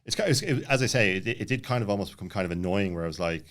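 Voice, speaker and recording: A man in his late thirties with a low-pitched voice tells a casual personal story. He talks very quickly, looping back and jumping around as he goes, with plenty of filler words. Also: lively intonation, a bit bright. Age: late 30s. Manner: rambling, jumps around, many filler words. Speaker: podcast guest.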